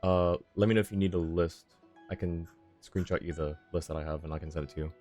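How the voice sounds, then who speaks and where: A man's voice: deep voice, little pitch movement, fast talker, a little mellow, faint music behind. A man hosting a game livestream, chatting casually with his viewers in a friendly, apologetic way. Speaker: livestream host, gamer. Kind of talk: casual game stream chat, reading chat and game dialogue aloud.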